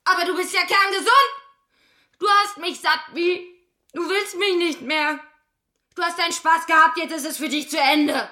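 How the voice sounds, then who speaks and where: Shrill, jilted young woman. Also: fairly even intonation, normal speed, pushed and bright, echoey room. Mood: hurt, reproachful. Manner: reproachful, agitated. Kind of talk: German radio drama.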